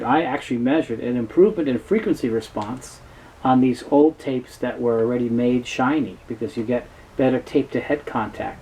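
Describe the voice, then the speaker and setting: A man in his fifties giving an unscripted explainer in a halting, matter-of-fact way. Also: fairly high pitch, a little mellow, rough recording. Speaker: presenter, tape specialist. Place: cluttered indoor workroom with shelves. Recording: clear background noise, room ambience.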